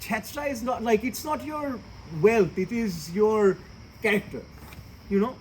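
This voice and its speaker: High-voiced Bangladeshi man joking around. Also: fast talker, slight background noise, rough recording. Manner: profane, joking, switches between English and Bengali. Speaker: comedian host. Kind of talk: casual comedic chat.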